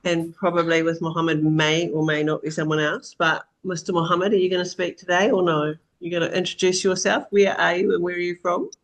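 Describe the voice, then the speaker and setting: A woman's voice: on the low side, steady tone, a little fast, few pauses. A woman hosting a casual group video call in an informal, teasing way. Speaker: host.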